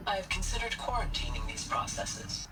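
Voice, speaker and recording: A man voicing a calm, caring artificial intelligence in a video game, formal, measured and precise. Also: high voice, far from the mic, over music. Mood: measured, reflective. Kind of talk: video game audio log acting.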